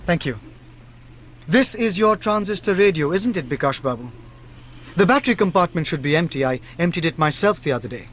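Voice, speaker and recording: Animated, shrewd Indian detective. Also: male voice, on the high side, a little hiss or hum. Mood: probing, accusing. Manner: measured, deliberate, reasoning. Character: shrewd, self-assured male private detective. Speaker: lead detective in a radio drama. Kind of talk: radio drama acting.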